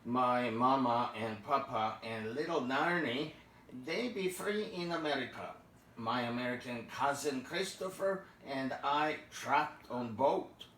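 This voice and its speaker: A woman voicing a sad young male mouse who talks in broken English, in a low, dragging voice. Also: slow, fairly bright tone, echoey room, recorded from a distance, slight background noise, exaggerated voice, rough recording.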